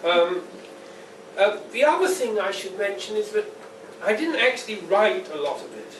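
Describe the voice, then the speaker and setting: A man speaking as a poet at a live reading, with a deliberate, dry and wry delivery, recorded indoors at a distance. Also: high voice, sing-song, fairly bright tone. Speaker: poet reading at a book launch. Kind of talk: public poetry reading with informal introduction. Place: indoor pub venue at a live launch event. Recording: echoey, noisy live room, distant mic.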